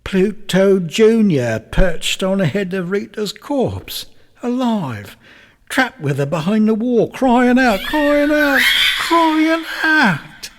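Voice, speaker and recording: An actor playing a guilt-ridden, drug-addled London murderer giving a halting, theatrical confession in a radio drama. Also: male voice, sits high, very animated, a bit bright, slight background noise, exaggerated voice. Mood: frantic, hysterical.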